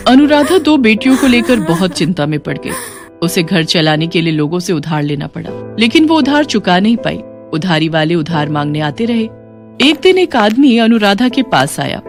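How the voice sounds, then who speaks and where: A woman reading a scripted moral story as its narrator. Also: lowish voice.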